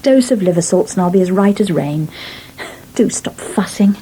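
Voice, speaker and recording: An actress playing a brisk, practical and mildly sceptical farmer's wife in a British radio soap. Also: female voice, medium pitch, lively intonation, warm tone, lightly acted. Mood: dismissive, tired. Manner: brisk, no-nonsense, politely questioning. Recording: noisy old radio broadcast archive.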